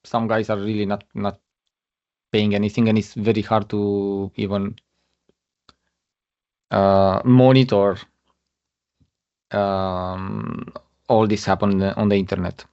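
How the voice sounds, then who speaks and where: Halting man presenting over a muffled call. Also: lowish voice, flat delivery, a little mellow. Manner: halting, many fillers and restarts. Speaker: guest speaker. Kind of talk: webinar presentation. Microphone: video call. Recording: muffled lo-fi online call audio.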